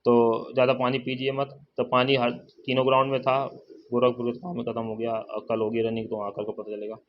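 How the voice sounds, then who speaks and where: A man's voice: mid-range voice, completely flat delivery, a little fast. A man giving a casual, informal update to viewers, repeating points and addressing them familiarly as brother. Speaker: exam-update YouTuber and channel host. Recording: dull lo-fi sound, faint noise, birds.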